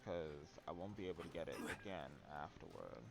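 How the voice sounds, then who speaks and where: A man's voice: extremely low pitch, lively intonation, moderate pace, vocal fry. A man giving casual live commentary while playing a video game, sometimes reading text aloud. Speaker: game streamer.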